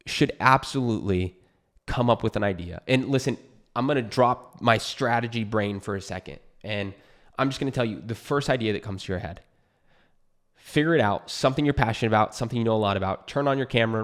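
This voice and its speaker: A young adult man from California with an American accent, talking to camera in a casual, unscripted way, earnest and encouraging like a YouTuber giving advice. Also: lowish voice. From California, United States.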